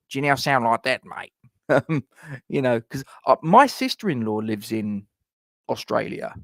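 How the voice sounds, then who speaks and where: A man's voice: a little high, creaky, dry recording, clean studio sound. A middle-aged man hosts a casual live chat, reading viewer comments and rambling with lots of fillers and restarts.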